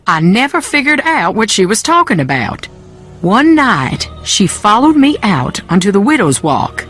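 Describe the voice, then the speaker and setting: A woman's voice: mid-range voice, very animated, a little slow, fairly bright tone, breathy edge, soft background music, full character voice. A woman playing a troubled, haughty woman in a video game, brittle and curt, with a Southern US accent. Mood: bitter.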